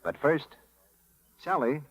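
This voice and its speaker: A man's voice: a little high, animated, takes it slowly, fairly bright tone. An American man working as a 1930s radio announcer, delivering scripted sponsor patter in a genial, polished sales manner. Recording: vintage 1930s radio broadcast recording.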